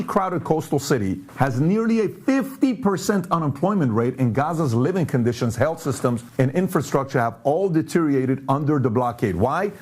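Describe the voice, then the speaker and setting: A man born and raised in Iran hosts a commentary show, posing pointed questions and walking through charts in an emphatic, animated way. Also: mid-range voice, husky. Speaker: show host.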